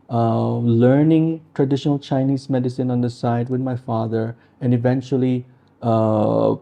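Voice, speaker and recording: A man in his forties from the Philippines, a doctor answering interview questions in a measured, explanatory way. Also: mid-range voice, gravelly, dark tone, dull audio. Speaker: integrative medicine doctor.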